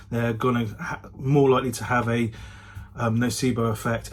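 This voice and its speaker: A man's voice: mid-range voice, slight background noise. A man vlogging in a casual chatty style, often hedging and correcting himself as he goes. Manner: self-correcting, hedging his claims. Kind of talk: casual talk to camera.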